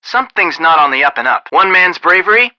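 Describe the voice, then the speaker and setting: Young male game detective, very bright forceful voice, dry recording, wry, earnest, articulate. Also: fairly high pitch, lively intonation, fairly quick, dry recording, dull audio. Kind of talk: video game voice acting, conversational.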